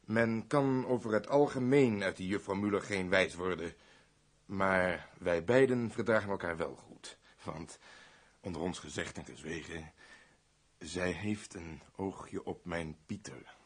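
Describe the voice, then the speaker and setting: An actor playing a wordy, servile older steward of a noble house in a radio play, with a husky voice. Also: male voice, deep voice, measured pace. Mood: confiding, fawning. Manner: wordy, long-winded, obsequious.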